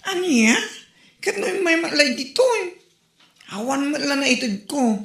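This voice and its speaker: A woman's voice: high-pitched, lively intonation, measured pace, gravelly, reverberant, full character voice. A woman with an Ilocano accent, playing a gossipy neighbor who exclaims and teases dramatically. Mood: animated.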